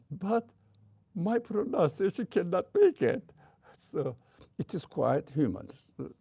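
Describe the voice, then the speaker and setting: Older man, heavy Turkish accent, religious teacher, slow halting delivery, very soft dark tone, dry close sound. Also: mid-range voice, gravelly, slightly rough, no room sound. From Turkey. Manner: halting, hesitant delivery with frequent pauses. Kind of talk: informal religious lecture, explaining history.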